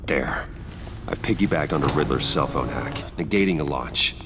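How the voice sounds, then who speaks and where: An actor playing a brooding, resolute crime-fighter in a video game, talking in a measured, serious way. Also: male voice, a bass voice, background noise. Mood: focused, grave.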